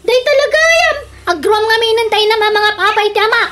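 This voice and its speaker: A woman's voice: extremely high pitch, animated, moderate pace, bright, forceful tone, a little hiss or hum, exaggerated voice. A woman playing a quarrelsome, scolding village mother in a comedy radio drama, exclaiming and arguing with an Ilocano accent. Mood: agitated, shouting. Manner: nagging, exclamatory, argumentative.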